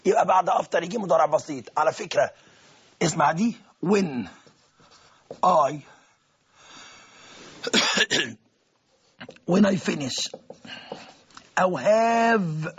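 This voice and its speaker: Man, Egyptian Arabic accent, high voice, raspy, teacher explaining grammar phrase by phrase. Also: lively intonation, slow pace, pauses often, fairly bright tone. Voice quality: husky, gravelly voice with frequent throat-clearing. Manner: step-by-step explaining, translating each phrase, addressing the class. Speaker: English teacher.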